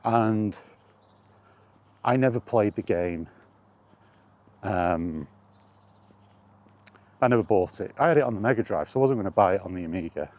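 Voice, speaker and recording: A man's voice: fairly low pitch, moderate pace, pauses often, a little mellow. A man talking in a casual, rambling monologue, reminiscing and thinking aloud as he goes. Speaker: host of a gaming chat vlog.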